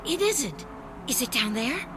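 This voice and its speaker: An actress playing a frightened, hurt woman in a radio drama, speaking in a breathless, halting, emotional way. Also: sits high, very animated, noisy background. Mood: tense. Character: frightened, injured woman battling tiny silvery creatures.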